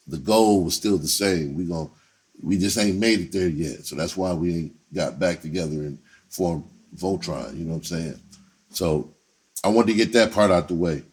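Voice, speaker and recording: Deep, husky American man telling stories. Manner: candid, streetwise slang, repeats words for emphasis. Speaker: entertainer telling autobiographical stories. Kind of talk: casual spoken storytelling.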